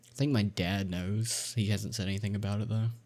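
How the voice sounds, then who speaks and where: A man's voice: on the low side, fairly even intonation, a little fast, darkish tone. A young man chatting on a podcast in an earnest, encouraging way, hesitating with ums as he talks. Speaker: YouTuber and podcast co-host. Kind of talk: casual chat.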